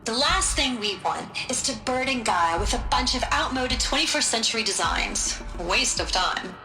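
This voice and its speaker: A woman voicing an informal, eager engineer briefing recruits in a video game, with a halting, wry delivery. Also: a little high, expressive, sharp tone. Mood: informal, upbeat. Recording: roomy echo, music underneath.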